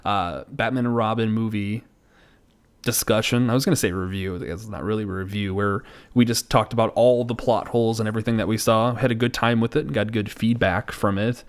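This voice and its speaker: A man's voice: lowish voice, fairly even intonation, fairly quick. A man co-hosting a podcast in casual comedic banter about movies, self-deprecating and mock-exasperated. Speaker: podcast co-host.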